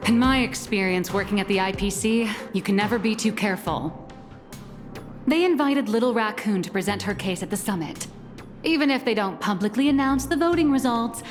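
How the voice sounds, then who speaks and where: A woman's voice: mid-range voice, lightly acted. An actress playing a shrewd, playful, bossy female magician in a game, theatrical and knowing in her delivery. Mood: confident. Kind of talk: game voice acting. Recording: reverb, faint music behind.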